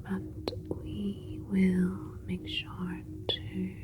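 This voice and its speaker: A woman playing a soothing, clinical alien scientist in an ASMR roleplay, whispering with slow, deliberate, precise wording. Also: medium pitch, completely flat delivery. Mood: soothing, reassuring.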